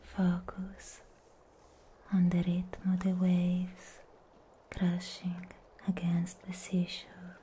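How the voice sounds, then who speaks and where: Woman as serene anime sea guardian for ASMR roleplay: breathy whisper, very slow, mellow, soothing. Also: fairly low pitch, monotone, a little hiss or hum.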